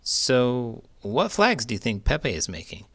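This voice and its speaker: A man's voice: medium pitch, wildly swooping pitch, a little slow, a bit bright. A man hosting a children's show, reading a picture book aloud expressively with big emotions. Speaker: children's book reader and host. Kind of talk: expressive storybook read-aloud.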